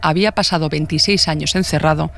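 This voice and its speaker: A man with a Spanish accent from Spain narrates a scripted true-crime documentary. His voice sits a little high for a man and he moves quickly through the text. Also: fairly even intonation, gravelly, fairly bright tone, a little hiss or hum.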